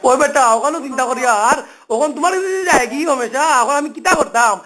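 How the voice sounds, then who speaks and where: A man's voice: very high voice, lively intonation, quick pace, sharp tone. A man with a Sylheti accent on a phone call, talking informally in a pleading, complaining, repetitive way. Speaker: phone caller.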